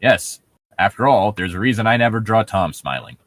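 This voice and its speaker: Animated, sarcastic young man riffing. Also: on the low side, moderate pace, dry recording, clean studio sound. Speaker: co-host of a comedic creepypasta reading. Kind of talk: joking riffs and casual reading.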